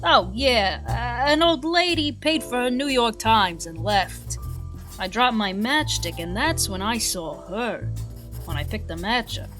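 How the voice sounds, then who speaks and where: An actress playing an eager, excitable, rambling gambling customer in a satirical 1940s audio play. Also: female voice, a little high, a little slow, faint music behind. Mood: thoughtful. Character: eager, gushing punch-board gambling customer.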